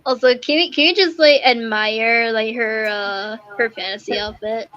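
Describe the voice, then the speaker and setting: A woman's voice: fairly high pitch, moderate pace, fairly bright tone, lo-fi sound. A woman giving casual, slangy gameplay commentary in a giggly, gushing manner. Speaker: gaming streamer.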